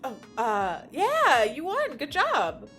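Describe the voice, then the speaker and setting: A woman's voice: high-pitched, wildly swooping pitch, slow pace, a bit bright, faint music behind, exaggerated voice. A woman acting in an audio drama as a frank, preoccupied young friend with a teasing side. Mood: distracted.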